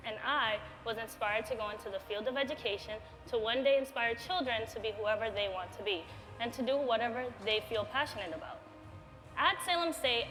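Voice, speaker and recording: A woman's voice: fairly high pitch, a bit bright, big-room sound, rough recording. A young woman from the Dominican Republic, a student, giving a sincere prepared speech at a campaign event. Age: young adult. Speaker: student speaker.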